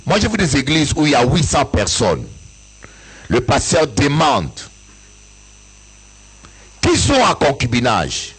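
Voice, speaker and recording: A bishop from Africa giving an impassioned church sermon, his voice rising in sing-song peaks and breaking into shouts. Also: mid-range voice, wildly swooping pitch, slow pace, pauses often, slightly rough, low-quality recording. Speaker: bishop and pastor. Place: church service with an audience.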